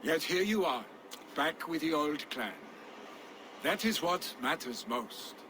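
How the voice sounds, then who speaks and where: Cocky viking warrior, high-ish voice, slow teasing banter. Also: male voice, lively intonation. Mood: boastful. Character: boisterous Norse warrior, jarl's son. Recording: game audio with ambient noise.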